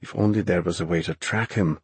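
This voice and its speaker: Man playing a glum, low-voiced young man. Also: brisk, slightly rough, dry recording, exaggerated voice. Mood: discouraged. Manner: subdued, dejected, apologetic. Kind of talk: audiobook character voice.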